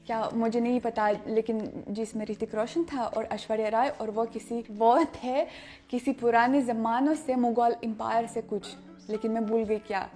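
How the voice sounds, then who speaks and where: A woman's voice: fairly high pitch, warm tone. Russian-accented woman vlogging in careful Hindi. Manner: halting, searches for words, many restarts. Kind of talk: casual chat to camera.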